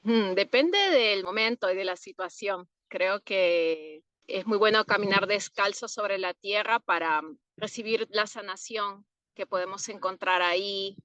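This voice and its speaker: Slow-spoken Peruvian woman. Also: mid-range voice, clean tone, no echo, muffled recording. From Peru. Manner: unhurried, thoughtful, soft laughs between answers. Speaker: interview guest, herbalist. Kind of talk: relaxed interview answers.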